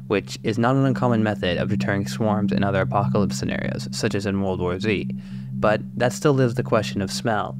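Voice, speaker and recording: A man's voice: lowish voice, noisy background. A man hosting a scripted, analytical video essay, narrating step by step as a voice-over. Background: music behind the voice.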